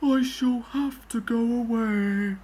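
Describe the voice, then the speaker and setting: A woman's voice: fairly high pitch, steady tone, slow, warm tone, voice pushed up, low-quality recording. Woman playing a plaintive, self-pitying steam engine. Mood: sad. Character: Henry, sickly, mournful, self-pitying male steam engine. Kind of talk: children's storybook reading.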